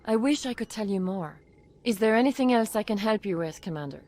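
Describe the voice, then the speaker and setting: An actress playing a grateful, soft-mannered doctor in a video game, polite and helpful. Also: female voice, medium pitch, animated, fairly quick, close to an everyday voice. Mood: thoughtful, helpful. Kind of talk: video game dialogue acting.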